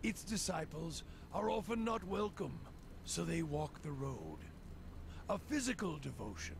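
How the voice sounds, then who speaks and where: An actor playing a zealous, bitter wandering fire priest in a video game, preaching in a cryptic, ominous, gravelly manner. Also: male voice, a little high, big pitch swings, measured pace, noisy background, exaggerated voice. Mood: bitter, grim. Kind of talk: video game voice acting, grandiose monologue.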